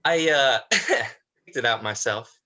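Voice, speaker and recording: A man's voice: mid-range voice, measured pace, sharp tone, lo-fi sound. An actor playing a nervous, reluctant young man in a dramatized script reading, stammering and hesitant. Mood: awkward, shy.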